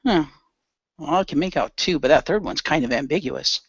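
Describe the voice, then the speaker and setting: A man's voice: on the high side, animated, moderate pace, breathy edge, no room sound, highs cut off. A man playing a curious, laid-back young hero recruit in an amateur radio play. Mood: musing.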